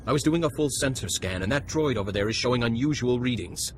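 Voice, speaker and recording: A man's voice: mid-range voice, expressive, quick pace, a little hiss or hum, lightly acted. A man playing a nervous cybernetic technician, anxious and deferential, in a scripted game cutscene. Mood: apologetic.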